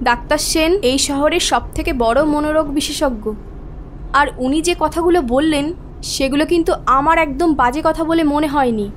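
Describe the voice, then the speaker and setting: Woman playing high-voiced scolding wife. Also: fairly quick, a little hiss or hum, lightly acted. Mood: scolding, sarcastic. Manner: insistent, scolding, sarcastic. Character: sharp-tongued, bossy, suspicious wife. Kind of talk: animated story acting.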